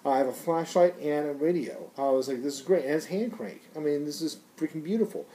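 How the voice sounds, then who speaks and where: A man's voice: a little high, brisk, mellow, a little hiss or hum. A man giving a casual, unscripted product review in a rambling, conversational, slangy way.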